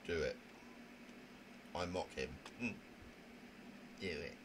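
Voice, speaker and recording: A man's voice: sits low, slow, fairly bright tone. A man voicing a prim, indignant young narrator in a visual novel, with wordy, fussy, theatrical diction. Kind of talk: dramatic reading of a visual novel. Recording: noisy home microphone recording.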